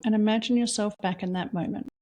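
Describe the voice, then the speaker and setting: A woman leading a guided reflection exercise, asking slow, soft questions with long pauses between them. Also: mid-range voice, steady tone. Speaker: meditation guide.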